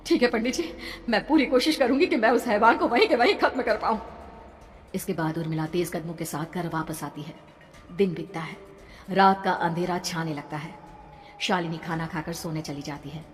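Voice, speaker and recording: A woman with a slightly low voice tells a scripted horror tale dramatically, as its narrator. The recording has a long reverb and faint noise.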